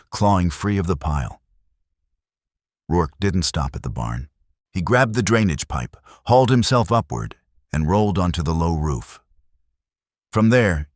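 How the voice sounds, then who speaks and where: A man's voice: low-pitched, no room sound, studio quality. A man narrating a dramatic scripted Western story.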